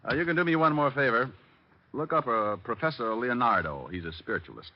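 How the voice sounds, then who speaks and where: An actor playing a wisecracking, flirtatious private detective with an American accent and breezy, sarcastic delivery in golden-age radio style. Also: male voice, mid-range voice, animated, a little fast, lightly acted. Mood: sarcastic, teasing. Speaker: lead actor and narrator of detective radio drama. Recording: muffled old radio recording.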